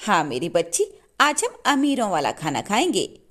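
A woman's voice: medium pitch, huge pitch swings, moderate pace, fairly bright tone. A woman voicing a long-suffering, outspoken poor mother in a cartoon story, earnest and plaintive. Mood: tender.

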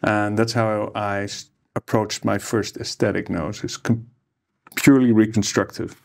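A man in his forties, a plastic surgeon, telling his life story in a relaxed interview, speaking easygoingly with many hesitations into a table microphone. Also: lowish voice, measured pace, creaky. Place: ornate room, seated interview. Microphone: studio microphone on table.

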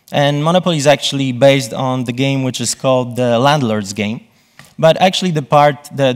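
A man gives a conference presentation from a stage, often pausing and rephrasing himself. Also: medium pitch. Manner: halting, self-correcting delivery. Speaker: conference speaker.

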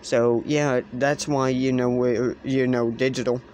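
Man, slow pace, halting, repetitive phrasing, casual hobbyist demo, handheld camera, noisy background. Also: mid-range voice. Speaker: hobbyist presenter. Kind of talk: casual explainer demonstration. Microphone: handheld camera or phone. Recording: clear steady background noise, handheld camera.

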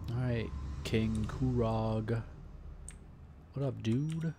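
A man's voice: mid-range voice, drawn-out words, noisy background. A man giving casual, slangy, laid-back commentary as he plays a video game. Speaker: gaming let's player. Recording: game music behind the voice. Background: game music.

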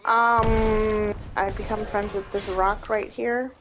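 A woman's voice: medium pitch, flat delivery, slow pace, a bit bright, slight background noise. A woman playing a shooter game with friends, chatting in a laid-back, casual way. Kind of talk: casual gameplay chat with friends.

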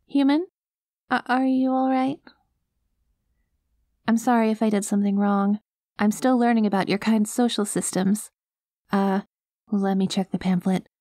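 A woman voicing a cute, gentle alien girl in an ASMR roleplay, naive and literal, with a hesitant, reassuring manner. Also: mid-range voice, steady tone, measured pace, pauses often, dry recording, clean studio sound, natural voice. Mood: anxious, apologetic.

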